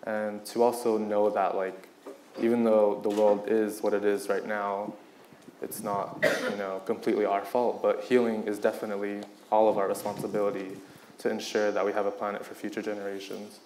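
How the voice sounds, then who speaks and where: Low, even-voiced man speaking reflectively. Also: moderate pace, a little hiss or hum. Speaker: panelist. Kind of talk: earnest panel talk, unscripted.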